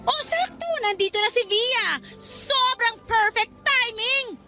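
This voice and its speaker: A woman playing a furious, scornful young office worker in a radio drama, loudly accusing someone. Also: extremely high pitch, takes it slowly, music in the background, exaggerated voice. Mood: angry, scornful. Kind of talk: Tagalog radio drama acting.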